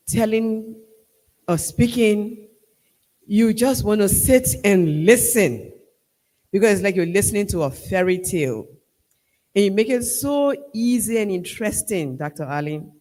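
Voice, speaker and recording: A man with a Liberian accent giving a formal vote of thanks at a ceremony, measured and emphatic, rallying the crowd. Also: sits high, a little mellow. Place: large hall at a university ceremony. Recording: long reverb of a large hall.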